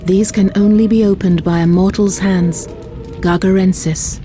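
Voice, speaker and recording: A woman voicing a solemn, wise goddess in a video game, telling myths in a measured, portentous way. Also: medium pitch, steady tone, dark tone, close to an everyday voice. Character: solemn, wise goddess guiding a mortal hero. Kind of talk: scripted dramatic narration of myth. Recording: music behind the voice.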